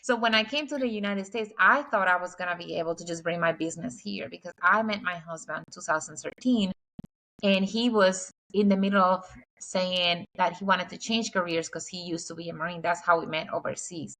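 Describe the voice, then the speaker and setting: A woman's voice: fairly low pitch, brisk, a bit bright, low-quality recording. A middle-aged woman from the Dominican Republic whose first language is Spanish, a guest architect answering casually over a video call with lots of fillers. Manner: chatty, frequent fillers.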